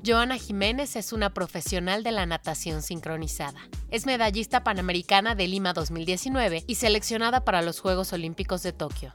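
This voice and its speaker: A woman announcer reads a scripted introduction steadily and formally. She speaks at an ordinary pitch over faint music. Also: a bit bright.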